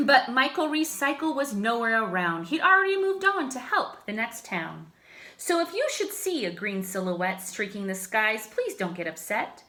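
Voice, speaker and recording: Female children's story reader, expressive and emphatic, highish bright voice. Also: moderate pace. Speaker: teacher reading a picture book aloud. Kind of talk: children's story read-aloud.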